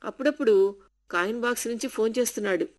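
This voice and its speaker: A woman's voice: fairly high pitch, quick pace, close to an everyday voice. A woman reading the part of a kind, caring housemaid who coaxes and comforts. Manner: warm, coaxing.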